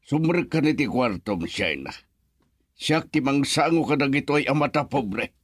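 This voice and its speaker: A man's voice: medium pitch, animated, normal speed, no echo, lightly acted. An actor playing a stern, protective, proud middle-aged father in a radio melodrama, firm and emphatic. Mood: proud, angry.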